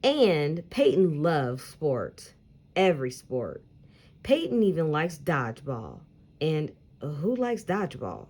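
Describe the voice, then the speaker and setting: A woman reading a children's story aloud in an expressive, emphatic storyteller's manner, with deliberate phrasing for young listeners. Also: low voice. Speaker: teacher reading picture books aloud for children.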